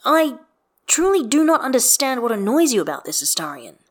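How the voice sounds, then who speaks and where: A woman's voice: on the high side, sing-song, fairly quick, pitched above the performer's own voice. A woman voicing an earnest, exasperated man in a story, speaking in formal, precise, emphatic sentences.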